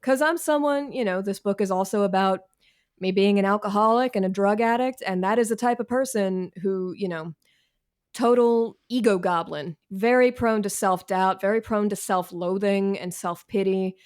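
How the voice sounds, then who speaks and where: A woman's voice: mid-range voice, moderate pace, a little mellow, smooth. A woman answering interview questions as a writer, candid and self-deprecating, with slangy, conversational phrasing. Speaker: guest, professional writer.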